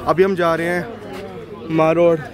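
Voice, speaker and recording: Man, slightly high voice, casual walking vlog, crowd chatter around. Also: level tone, slow. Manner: chatty, pointing things out while walking. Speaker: travel vlogger. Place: busy hill-town street outdoors. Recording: crowd noise around the speaker. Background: crowd of passers-by.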